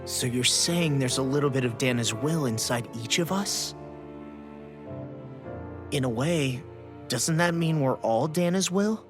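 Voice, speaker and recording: A sincere young male fighter from a fantasy game, performed by a man, with a mid-range voice. He sounds loyal and slightly bashful. Music is clearly audible behind his speech. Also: medium pitch, measured pace, pauses often, lightly acted. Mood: sincere, resolute. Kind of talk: video game cutscene voice acting.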